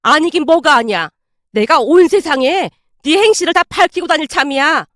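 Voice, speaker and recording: A woman's voice: high voice, lively intonation, brisk, no room sound, clean studio sound. A woman voicing an older mother-in-law in a narrated family story, blunt, indignant and fiercely protective. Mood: furious scolding.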